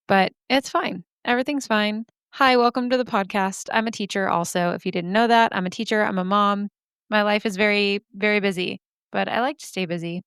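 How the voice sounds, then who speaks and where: A woman in her thirties hosting a podcast, her voice hoarse and scratchy with vocal fry, rambling through self-deprecating asides. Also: medium pitch, dry recording, clean studio sound. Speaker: podcast host. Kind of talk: chatty podcast intro.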